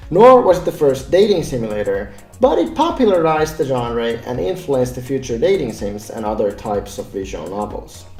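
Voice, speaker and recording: A man's voice: medium pitch, dark tone, roomy sound. A man hosting a scripted explainer vlog, addressing viewers informally as he explains things step by step. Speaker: game developer and vlog host.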